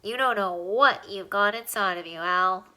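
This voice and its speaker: A woman voicing a tiny, chatty male alien with a high, squeaky voice and a wisecracking manner. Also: pushed and bright, close to an everyday voice, rough recording. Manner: fast-talking, wisecracking.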